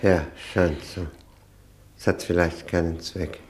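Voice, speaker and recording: An actor playing a broken, resigned former prisoner who answers in subdued, halting words in a 1960s German radio drama. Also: male voice, deep voice, fairly even intonation, slight background noise. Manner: subdued, brief, halting replies. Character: Maurizius, broken, resigned man released from prison.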